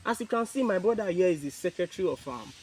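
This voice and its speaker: A man with a Nigerian accent making an earnest, measured public appeal as chairman of a youth group. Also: high-pitched, expressive, a little hiss or hum. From Niger Delta, Nigeria. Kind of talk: press statement, public appeal.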